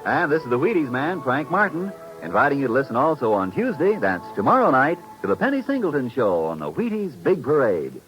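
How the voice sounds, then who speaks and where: Male radio pitchman, medium pitch, hugely animated salesman delivery, vintage broadcast promo style. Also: a little fast, few pauses, muffled recording, music in the background. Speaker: sponsor's announcer. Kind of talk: scripted 1950s radio promotion.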